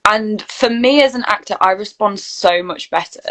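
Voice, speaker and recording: A woman's voice: medium pitch, moderate pace, fairly bright tone. A woman guest chatting informally and candidly in a casual interview. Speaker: interview guest, actor and voice reel studio founder.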